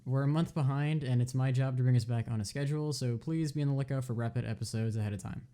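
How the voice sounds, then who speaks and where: A man delivers an introductory notice for a podcast. His voice sits at a middle pitch with a mellow, slightly dark tone. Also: fast talker. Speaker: podcast announcer.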